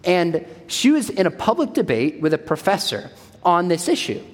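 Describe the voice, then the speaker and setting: A man's voice: high voice, very animated, moderate pace. A man in his forties giving an emphatic church talk, repeating his key points. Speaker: speaker at a church. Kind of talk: sermon-style talk. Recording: long hall reverb.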